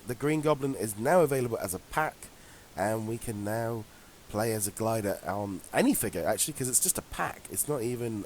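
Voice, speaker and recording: A congested man with a head cold talks casually as co-host, chatty and laughing easily, with plenty of ums between thoughts. His voice sits at a middle pitch, with a faint hiss running under the recording. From England. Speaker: podcast co-host. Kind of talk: casual chat.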